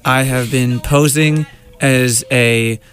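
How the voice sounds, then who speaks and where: A man in an improv comedy bit, talking hesitantly with pauses and laughs. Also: mid-range voice, steady tone, a bit bright, soft background music.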